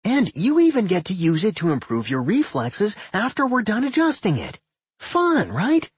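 A man voicing a manic, gleeful tentacled dungeon creature in an excitable, exclaiming way for a fantasy audiobook. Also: fairly high pitch, unhurried, husky, no room sound, voice pushed up, full character voice. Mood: gleeful, excited.

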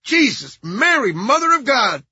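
A male audiobook reader plays a middle-aged male caretaker who is rattled and eager to please. His voice sits high and pinched in the nose, with a bright, strained edge. He pleads and apologizes nervously. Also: animated, normal speed, husky, dry recording, dull audio, exaggerated voice. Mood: terrified. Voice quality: high-pitched, nasal. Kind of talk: audiobook character voice.

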